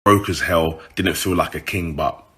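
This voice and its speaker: A man's voice: deep voice, fairly even intonation, moderate pace, fairly bright tone. A man with a British urban accent telling a story casually to his followers, informal, slangy and sweary.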